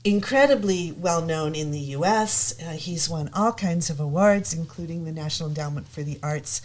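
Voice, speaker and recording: A low-pitched woman talks casually as a guest. She hesitates often with ums and restarts, but her enthusiasm comes through. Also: normal speed, a little mellow. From United States. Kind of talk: casual chat.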